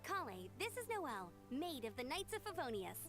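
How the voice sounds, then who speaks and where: A woman's voice: high-pitched, big pitch swings, normal speed, over music. A woman voicing a cheerful, outgoing young female knight in an anime game, chatty and friendly.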